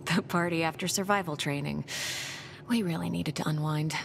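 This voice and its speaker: A woman's voice: sits low, moderate pace, vocal fry, a little hiss or hum. An actress playing a capable, curious woman who serves as a mission specialist in a scripted sci-fi video game. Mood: focused. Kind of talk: scripted video game acting, log narration and dialogue.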